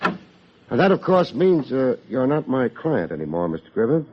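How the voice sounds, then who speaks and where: An American actor playing a wry, hard-boiled private detective in an old radio drama, with dry, needling delivery. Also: male voice, medium pitch, big pitch swings, normal speed, background noise, close to an everyday voice. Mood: sardonic. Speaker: private investigator and narrator. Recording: dull vintage radio recording.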